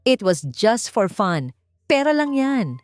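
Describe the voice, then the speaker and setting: An arrogant young rich son, acted by a woman narrator, spoiled and indignant in an audiobook drama. The pitch jumps up in defiance, with music playing behind. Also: sing-song, takes it slowly, a little mellow, no echo. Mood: defiant disbelief. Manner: defiant, indignant, mixing English and Tagalog.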